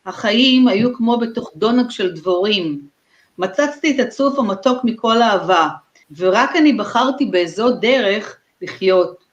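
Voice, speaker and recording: A woman poet reading her verses slowly and deliberately, with long pauses, at an online festival. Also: mid-range voice, expressive, roomy sound, lo-fi sound. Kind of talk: slow poetry recitation. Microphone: video call.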